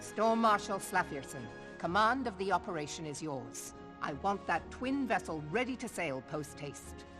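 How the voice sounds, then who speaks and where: An actress playing a stern, pragmatic, decisive admiral in a video game, speaking with authority in formal archaic phrases. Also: female voice, on the low side, lively intonation, moderate pace, few pauses, over music, lightly acted. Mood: commanding. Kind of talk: scripted video game cutscene acting.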